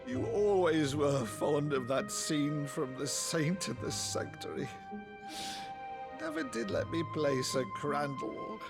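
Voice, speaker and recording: Old noble uncle, game cutscene, pompous but kindly, slow theatrical delivery, music under it. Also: male voice, a little high, lively intonation, gravelly, full character voice. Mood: moved, overjoyed. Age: older. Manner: theatrical, grandiloquent. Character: blustering, warm-hearted old nobleman uncle, theatrical and grand. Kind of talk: dramatic video game acting.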